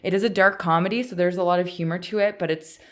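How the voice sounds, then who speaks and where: A woman with a Canadian accent talks casually as the co-host of a podcast. Her voice sits a little lower than most women's. The delivery is conversational and unscripted. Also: fairly even intonation, fast talker.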